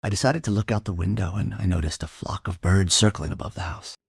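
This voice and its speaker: Low, husky brooding investigator. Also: male voice, quick pace, dry recording, studio quality, full character voice. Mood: reflective. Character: brooding, persistent male crime investigator narrating his own case. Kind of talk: crime audio drama, first-person narration and dialogue.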